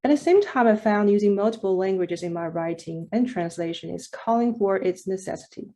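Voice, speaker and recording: Mellow-voiced woman. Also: medium pitch, a little fast, slight background noise. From China. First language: Mandarin Chinese. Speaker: panelist poet. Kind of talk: reading prepared commentary.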